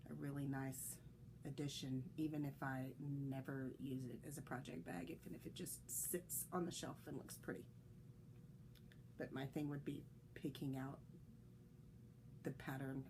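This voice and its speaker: Low, gravelly-voiced woman chatting. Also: noisy background. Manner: rambling, chatty, with many restarts and fillers. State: slightly congested, coughing. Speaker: knitting podcast host. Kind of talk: casual solo podcast chat.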